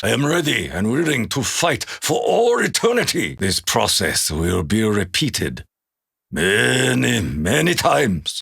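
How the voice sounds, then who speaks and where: A man's voice: on the low side, gravelly, pushed and bright, husky, no room sound, clean studio sound, exaggerated voice. A man voicing a solemn, honor-bound Japanese warrior in a video game, speaking with a Japanese accent in grave, formal declarations. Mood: solemn, reverent. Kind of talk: video game character voice lines.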